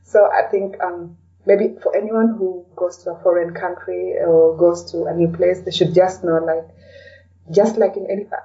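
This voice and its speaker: Low, mellow woman, distantly recorded. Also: fairly even intonation, moderate pace, lo-fi sound. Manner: reflective, often tags sentences with "you know". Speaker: interview guest. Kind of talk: casual interview conversation.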